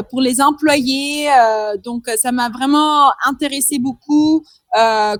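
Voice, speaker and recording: High-voiced hesitant young woman, dry sound. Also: no room sound. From Madagascar. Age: 20s. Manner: hesitant, many fillers and restarts, slips in English terms. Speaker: interview guest. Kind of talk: casual interview answers.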